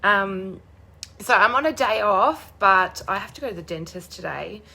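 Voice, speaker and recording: A young woman with a hoarse, croaky voice chatting bubbly on a live stream, greeting viewers by name. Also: medium pitch, lively intonation, moderate pace, bright, forceful tone. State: hoarse, losing her voice. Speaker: crafting demonstrator hosting a live stream.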